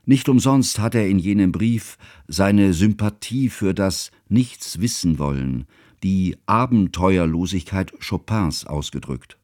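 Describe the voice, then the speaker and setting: A low-voiced scholar narrating a radio drama. He is formal and measured, troubled and devoted, often reproaching himself. The sound is close and dry. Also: expressive, a little mellow, no echo. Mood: measured, reflective. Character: troubled, devoted male scholar narrating his friend's biography.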